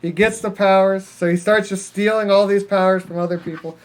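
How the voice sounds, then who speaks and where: A man's voice: high voice, steady tone, a little fast, slight background noise, rough recording. A man chatting casually as a podcast co-host, in a crude, slangy way with plenty of swearing. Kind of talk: casual profane chat pitching story ideas.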